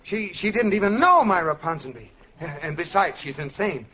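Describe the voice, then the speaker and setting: Dull old radio show, worried husband, stammery and melodramatic, voice swoops all over. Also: male voice, high voice, expressive, normal speed, sharp tone. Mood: panicked. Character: earnest, anxious, overprotective suburban husband. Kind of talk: 1940s radio comedy acting.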